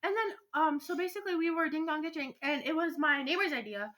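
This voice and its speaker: A young teenage girl with a stuffy nose, chatting and telling stories casually as a podcast co-host in an excitable, rambling way. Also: sits high, quick pace.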